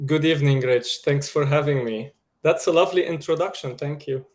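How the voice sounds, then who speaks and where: A man with a Lebanese accent, a guest on a video call, speaking in a measured way about his media career. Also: a little high. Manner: slow and measured, with soft laughs. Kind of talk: casual interview talk.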